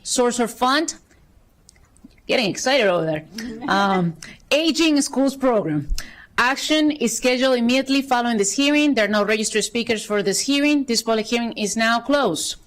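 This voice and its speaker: A woman's voice: on the high side, lively intonation. Woman chairing a council meeting. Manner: brisk procedural reading with light asides. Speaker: council president chairing session. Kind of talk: formal procedural meeting chairing. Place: council chamber.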